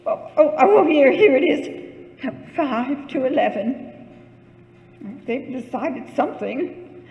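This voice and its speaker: A very high, shrill voice rings out with long reverb. a nervous, self-pitying bedridden woman in a radio thriller, haughty and fretful, swinging widely in pitch. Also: animated, takes it slowly, muffled recording, full character voice. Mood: anxious, suspicious. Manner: haughty, fretful, repeating words in panic. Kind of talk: old-time radio thriller acting. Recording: echoey hall with long reverb.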